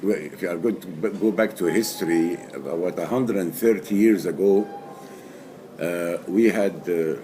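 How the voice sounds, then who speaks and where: A man in his sixties, raised in Egypt, answering interview questions casually in halting English full of fillers and restarts. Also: sits low, dark tone, slight background noise. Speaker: poker player guest. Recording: music playing behind.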